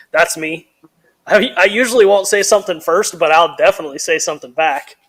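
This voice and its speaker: A man's voice: high voice, normal speed, fairly bright tone. A man co-hosting a live stream in casual chat, laid-back and blunt, chuckling often.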